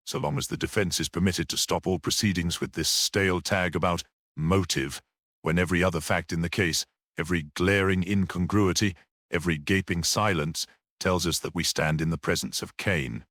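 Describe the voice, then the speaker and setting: A man's voice: deep voice, a bit bright, dry recording, studio quality. A man voicing a passionate, vindictive male barrister in a storybook, with vibrating, emphatic courtroom oratory. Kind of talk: dramatic audiobook character reading.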